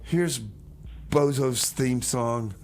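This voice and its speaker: A man's voice: medium pitch, very slow, a little mellow, slight background noise. Folksy, rambling male community radio host. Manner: folksy, rambling, repeats phrases. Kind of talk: casual radio chat with comic banter.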